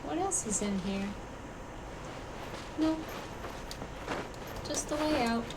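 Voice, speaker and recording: A woman's voice: high-pitched, animated, takes it slowly, far from the mic, very noisy. A woman livestreamer giving casual, muttered commentary while playing a video game. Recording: loud game music behind the voice. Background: video game music.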